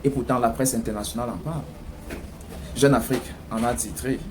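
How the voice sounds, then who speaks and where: A man's voice: mid-range voice, fairly even intonation, a little fast. A man with a Cameroonian French accent giving political commentary in an emphatic way, full of rhetorical questioning. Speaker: news commentator. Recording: clear background noise.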